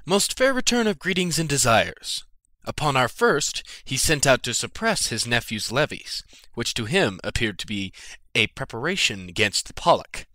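An actor playing a formal, earnest royal envoy in a classical drama reading. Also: male voice, medium pitch, very animated, moderate pace, pushed and bright, no room sound. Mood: official, assured.

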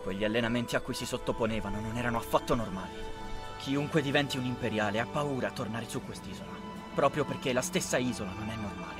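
A man voicing a grim, knowing teenage boy in an anime fan dub, grave and measured. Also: mid-range voice, over music, close to an everyday voice. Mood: grave, warning.

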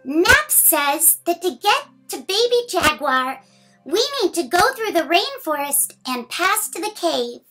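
A woman's voice: very high voice, very animated, slow pace, pushed and bright, soft background music, low-quality recording. A woman in her thirties reading a picture book aloud to young children, playful and exaggerated, asking the listeners questions.

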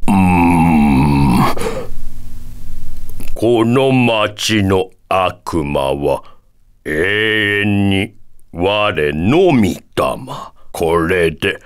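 Super slow deep old devil from a video game, solemn n pompous, weird little verbal tic. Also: male voice, full character voice. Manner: slow, drawn-out, ends sentences with a quirky "ma" tic. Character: long-lived male devil, solemn, quaintly pompous town guardian. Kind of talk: Japanese video game character voice acting.

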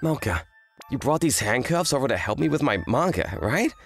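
A woman voicing an earnest, kind teenage boy, an excitable manga fan, in an anime-style romantic comedy dub. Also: a bass voice, normal speed, faint music behind. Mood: bewildered. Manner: earnest, exclamatory.

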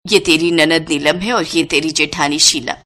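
A woman voicing a gently authoritative elderly village mother-in-law and grandmother in a cartoon moral story. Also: sits low, steady tone, moderate pace, pitched below the performer's own voice. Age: older.

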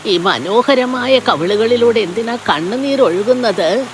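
A woman voicing a kindly, sympathetic old village woman in a fairy-tale cartoon, with soft, slow, soothing delivery. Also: a little high, lively intonation, noisy background. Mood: sympathetic, advising. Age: older.

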